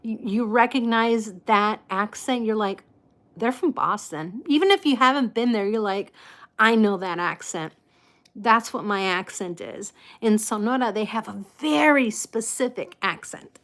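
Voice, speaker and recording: A woman from the United States hosting a casual live chat, with slightly impeded articulation and a halting, unhurried manner. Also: a little high, a bit bright. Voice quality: slightly impeded articulation from a false tooth and past stroke. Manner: halting, unhurried, greeting viewers by name. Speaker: live stream host.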